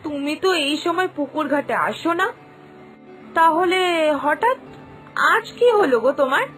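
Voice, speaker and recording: A woman's voice: sits high, expressive, moderate pace, a bit bright, soft background music. A woman voicing a nosy village wife in a cartoon, gossipy, inquisitive and emphatic in her way of talking. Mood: nosy, curious. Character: nosy, opinionated young village wife and neighbour. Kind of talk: dramatised cartoon dialogue.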